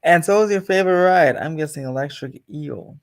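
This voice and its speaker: A man's voice: fairly high pitch, brisk. A man hosting a casual livestream, chatting off the cuff and addressing viewers. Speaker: channel host.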